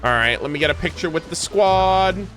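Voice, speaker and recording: Excitable high-pitched man streaming a game. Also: moderate pace, over music. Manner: excitable, slangy, swearing. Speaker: streamer playing and reacting to a video game. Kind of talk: casual gaming commentary to a chat.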